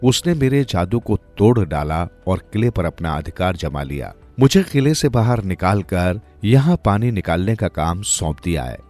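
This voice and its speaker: Man reading as weary old village woman, deep-voiced. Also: big pitch swings, moderate pace, few pauses, a little mellow, soft background music. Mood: weary, sorrowful. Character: poor elderly village widow, once magical, weary. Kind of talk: storybook character dialogue.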